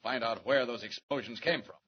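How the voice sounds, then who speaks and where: A man acting a brave, quick-thinking reporter with a secret heroic identity in a 1940s radio serial, speaking briskly and earnestly. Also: medium pitch, close to an everyday voice. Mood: low, determined. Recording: muffled, noisy 1940s radio recording.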